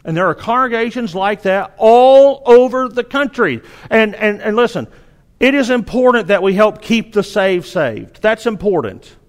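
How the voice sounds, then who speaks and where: A middle-aged man with a Southern drawl from Arkansas delivers a sermon, his voice sitting noticeably high. He exhorts earnestly and often stumbles into restarts as he presses his point. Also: lively intonation, normal speed. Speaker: preacher.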